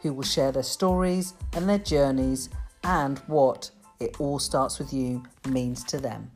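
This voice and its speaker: A woman's voice: extremely low pitch, measured pace, music in the background. A woman hosting a self-development podcast, talking in an upbeat, chatty way and laughing often. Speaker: podcast host. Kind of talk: casual interview chat.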